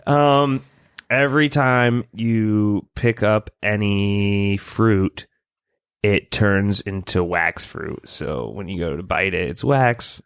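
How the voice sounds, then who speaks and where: A man's voice: lowish voice, takes it slowly, creaky, clear, resonant tone, no room sound, studio quality. A man co-hosting a comedy podcast in casual, agreeable banter. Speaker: podcast co-host.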